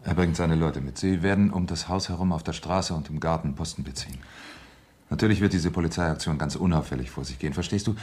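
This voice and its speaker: An actor playing a soothing, slightly hesitant husband in a German radio crime thriller, speaking in a measured way. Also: male voice, a bass voice, a little mellow. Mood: reassuring. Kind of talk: German radio drama acting.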